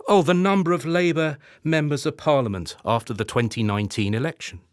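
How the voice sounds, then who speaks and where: A man's voice: fairly high pitch, fairly quick, dry recording, clean studio sound, natural voice. A man playing an anxious, hard-drinking, henpecked husband in a radio play, wry and theatrical. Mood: anxious brooding.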